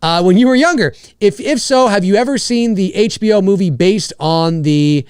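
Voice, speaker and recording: A man in his forties from Canada, hosting a live Q&A stream and chatting casually in an excitable, rambling way. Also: sits high, normal speed, clear, resonant tone, no room sound, studio quality. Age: 40s. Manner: excitable, rambling, reads donor messages aloud.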